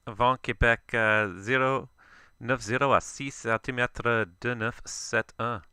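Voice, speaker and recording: A man's voice: mid-range voice, a little slow, dry recording, clean studio sound. A man working as a virtual air traffic controller, giving radio calls in hesitant non-native French with many "euh" fillers, then chatting casually with viewers on a headset mic. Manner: crisp, loud controller calls, then quieter relaxed chat.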